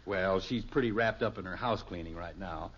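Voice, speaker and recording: A man's voice: low-pitched, normal speed, fairly bright tone, husky. An actor playing a cheerful, self-assured middle-aged American father in a 1950s radio sitcom, lecturing in a folksy way. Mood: busily pleased. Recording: muffled old radio recording.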